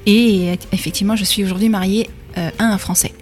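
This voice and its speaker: A woman in her thirties, from France, answers interview questions. She hesitates often and restarts her sentences. Her mid-pitched voice carries a long reverb, as if in a large room. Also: fairly even intonation, fast, faint music behind. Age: 30s. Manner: hesitant, many fillers and restarts. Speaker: guest, bakery school manager. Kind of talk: radio interview.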